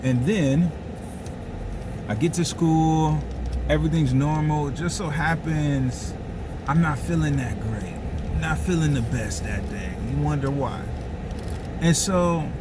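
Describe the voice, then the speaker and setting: A man's voice: a little high, slow, background noise. A man telling a comic personal story casually, with slangy asides and phrases repeated for dramatic effect. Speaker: storyteller and host.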